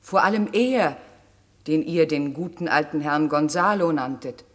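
A slow, low-voiced air spirit. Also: female voice. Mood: sorrowful, pitying. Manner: deliberate, poetic, deferential. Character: gentle, obedient air spirit serving a master. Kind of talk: classic German radio play acting.

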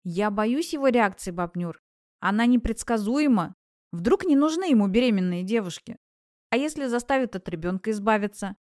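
A woman's voice: mid-range voice, lively intonation, moderate pace, darkish tone, dry recording, studio quality, natural voice. A woman voicing an anxious young female student in an audiobook, confiding her worries earnestly and vulnerably. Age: young adult. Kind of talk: audiobook character voice.

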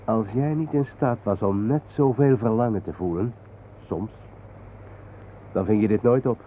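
Actor as low-voiced cryptic guide, noisy old recording. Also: male voice, natural voice. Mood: patient, cryptic. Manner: measured, enigmatic. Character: calm, cryptic male guide named Hein, explaining mysteries. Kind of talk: Dutch radio play acting. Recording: noisy, narrow-band old radio recording.

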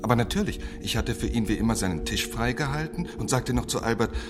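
An actor playing an obliging head waiter in a radio play, answering with servile assurance. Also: male voice, mid-range voice, expressive, quick pace, close to an everyday voice. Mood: deferential. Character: obliging, deferential male head waiter as witness. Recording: radio play under loud music.

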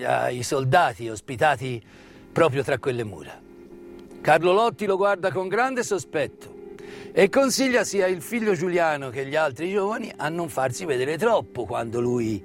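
A man tells a story of wartime history as a stage actor, with dramatic emphasis and deliberate pauses. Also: a little high. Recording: live music mixed under the voice. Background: live musical accompaniment.

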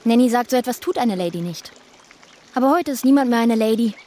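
A woman's voice: a little high, animated, brisk, dark tone, slight background noise, close to an everyday voice. A female performer playing a precocious, unsentimental little girl sleuth in a radio play, matter-of-fact and know-it-all. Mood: smug.

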